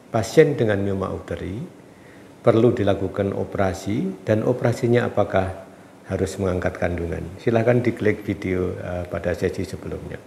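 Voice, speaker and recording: A man speaking as a doctor who explains health questions from viewers in a calm, measured way, his voice congested from a cold and slightly nasal. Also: deep voice, creaky. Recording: echoey room with faint background noise.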